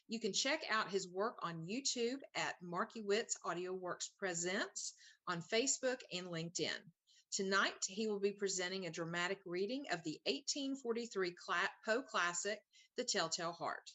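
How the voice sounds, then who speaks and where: A woman's voice: medium pitch, lively intonation, moderate pace, a bit bright. A woman hosting a live launch event, giving introductions and chatting with guests. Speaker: event host.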